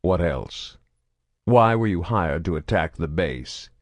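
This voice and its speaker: A ruthless alien interrogator, read by a man for a storybook, curt and quietly menacing. The voice is very deep and kept low and soft. It sounds dry and close to the mic. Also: lively intonation, slow, dry recording. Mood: cold.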